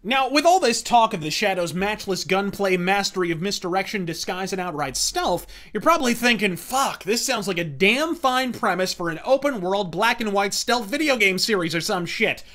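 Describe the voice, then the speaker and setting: A man's voice: high-pitched, lively intonation, moderate pace, hardly any pauses, bright, forceful tone, faint music behind. A man delivering scripted, profane commentary in a verbose, caustic and emphatic manner. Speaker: video essayist and reviewer.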